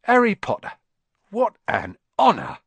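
A man's voice: on the high side, wildly swooping pitch, moderate pace, fairly bright tone, no echo, voice pushed up, heavily acted. A man voicing an old, bald male barman, awed and effusive.

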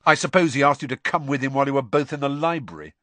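A man's voice: medium pitch, lively intonation, rapid-fire, a bit bright, dry recording, pitched above the performer's own voice, lightly acted. Man plays sulky teenage boy for audiobook: jealous, scathing, raised swooping voice. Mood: nasty. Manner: scathing, sneering.

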